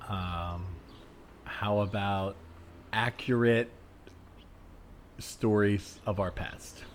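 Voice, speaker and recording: A man acts out a polite, idealistic city liberal with a lowish voice. He is tentative and halting, choosing words diplomatically in an improvised comedy conversation. Faint ambience sits behind him. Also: steady tone. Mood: awkward, diplomatic. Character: awkward, polite, peace-minded city liberal. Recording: faint noise, added ambient soundscape. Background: faint ambient sound effects.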